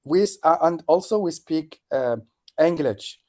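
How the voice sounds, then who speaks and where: A man chatting casually on a video call, in halting English with many restarts. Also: on the high side, lively intonation, darkish tone. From Tunisia. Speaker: guest participant. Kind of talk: casual chat.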